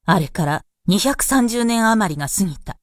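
A woman's voice: mid-range voice, lively intonation, normal speed, dry recording, studio quality. A woman narrating a radio drama as a scripted storyteller. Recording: loud music behind narration.

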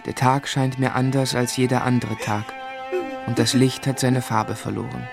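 Actor as reflective male narrator, medium pitch. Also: level tone, normal speed, gravelly, lightly acted. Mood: sombre, reflective. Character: adult man recounting his sorrowful childhood memories. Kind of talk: scripted first-person literary narration. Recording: faint music behind.

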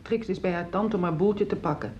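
A middle-aged actress playing a worried, weary wife in a radio play, speaking in a hesitant, subdued way. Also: female voice, lowish voice, fairly quick, a little mellow, lo-fi sound, slight background noise, natural voice. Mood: anxious.

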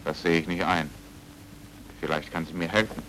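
Blunt, deep-voiced, stubborn amateur investigator. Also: male voice, steady tone, moderate pace, a bit bright, slight background noise, rough recording. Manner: direct, terse, insistent. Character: blunt, stubborn foreign pulp novelist investigating a friend's death. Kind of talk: radio drama acting.

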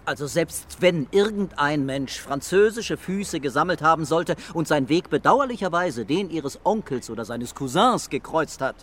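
Ungeduldiger, eher hoher Kommissar. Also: male voice, on the high side, animated, normal speed, few pauses. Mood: skeptical, weary. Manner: blunt, colloquial, impatient. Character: blunt, exasperated French police commissioner, the boss. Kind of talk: German radio crime drama acting.

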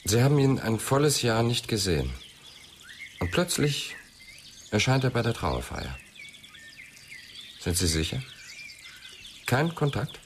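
A man's voice: medium pitch, very animated, measured pace, pauses often, a little hiss or hum. An actor playing a persistent, sceptical male police inspector who questions tersely in a radio crime drama. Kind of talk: German radio drama dialogue.

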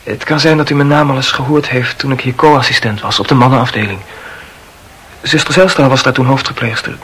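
An actor playing an eager, nervously chatty young male medical student in a radio drama, talking in a hurried, self-justifying way. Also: medium pitch, a bit bright, slightly rough. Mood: polite, forward.

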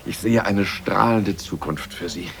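An actor playing a complacent, jovial senior scientist in a radio play, chatting in a musing, chummy manner. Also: male voice, low voice, a little slow, vocal fry. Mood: contented. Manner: musing, self-satisfied, chummy. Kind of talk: conversational radio play acting.

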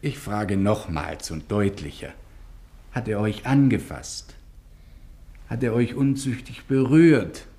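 A stern Venetian magistrate in a radio play. He is formal and impatient, commanding with deliberate, slow-paced authority. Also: on the low side, animated, warm tone. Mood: probing. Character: stern, impatient male judge of the Venetian court. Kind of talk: German radio drama acting.

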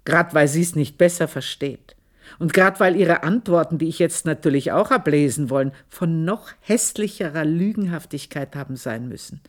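A proud, bitter housemaid long in service, confiding her story in a radio play. Her voice sits a little low, and she speaks with intense scorn and a close, confessional tone. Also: moderate pace. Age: older. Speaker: narrator of her own story.

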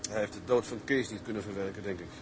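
An actor playing a weary, plain-spoken tugboat captain who talks in a reflective, measured way in dramatic dialogue. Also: male voice, lowish voice, fairly even intonation, a little hiss or hum, close to an everyday voice. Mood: regretful. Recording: music behind the voice.

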